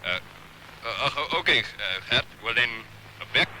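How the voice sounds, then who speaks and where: A man's voice: medium pitch, expressive, sharp tone. A man playing a ponderous, prim, hesitant South African cricket reporter in a 1970s radio comedy. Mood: flustered, hesitant. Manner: halting, pompous. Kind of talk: mock outside-broadcast report in radio comedy. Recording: noisy 1970s radio recording.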